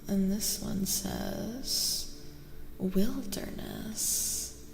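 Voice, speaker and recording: A woman streaming a soft-spoken ASMR card reading, chatting casually and gently in a breathy voice that often drops to a whisper, repeating words soothingly. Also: medium pitch, wildly swooping pitch, drawn-out words, roomy sound, big-room sound, noisy background. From Eastern Europe. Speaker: streamer host giving tarot readings.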